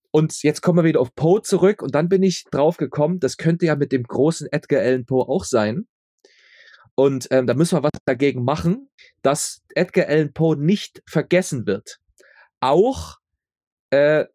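A man with a mid-pitched voice talks casually as a guest on a podcast. He is chatty and tends to repeat words to stress them. The recording is dry, with no audible room. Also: dark tone, dry recording. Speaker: podcast guest, audio drama maker. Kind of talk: casual interview chat.

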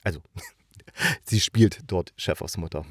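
A man's voice: low voice, slow, fairly bright tone, husky, no room sound, clean studio sound. A man from eastern Germany hosting a review, talking in a casual, chatty and enthusiastic way. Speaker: audio drama review host.

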